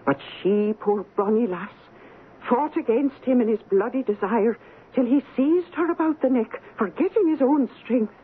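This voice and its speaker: Actress as a high-voiced, brooding Highland Scotswoman. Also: animated, normal speed, close to an everyday voice. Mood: grim, dramatic. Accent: Scottish Highland. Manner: grave, archaic, dramatic phrasing. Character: stern, brooding old Highland Scottish woman, superstitious servant. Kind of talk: radio drama storytelling. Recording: tinny, noisy 1970s radio recording.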